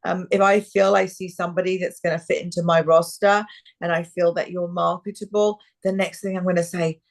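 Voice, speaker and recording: Slightly hoarse talent agent woman. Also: fairly low pitch, little pitch movement, brisk. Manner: frank, many fillers and restarts. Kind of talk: live video call chat. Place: quiet room in a rental house. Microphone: video call.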